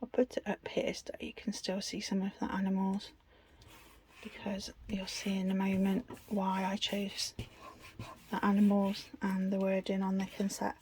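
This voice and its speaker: Even-toned British woman, chatty crafter. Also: medium pitch, normal speed, slight background noise. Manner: chatty, self-deprecating. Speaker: craft video host. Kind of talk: casual unscripted craft tutorial.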